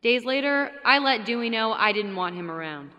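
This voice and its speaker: A woman's voice: on the high side, animated, normal speed, bright, forceful tone, long reverb tail. A woman playing a scheming, jealous wife in a horror audio drama, with a hard-edged, sardonic delivery. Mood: jealous, suspicious. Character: scheming, jealous wife narrating her dark confession. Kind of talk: audio drama narration and dialogue.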